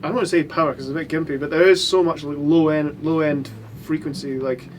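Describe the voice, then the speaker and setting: Man, mixing engineer, casual rambling chat, somewhat high voice, noisy recording. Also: steady tone, fast talker. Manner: rambling, full of fillers and restarts.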